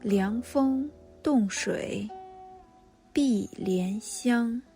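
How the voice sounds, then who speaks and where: A woman reciting classical poetry slowly and deliberately, phrase by phrase. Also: on the high side, mellow, soft background music. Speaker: poem reciter.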